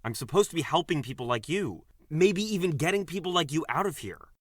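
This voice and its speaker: A man's voice: fairly high pitch, expressive, a little fast, no echo, studio quality. An actor playing an earnest, anxious, naive man among prisoners in an audio drama, hesitant and reactive.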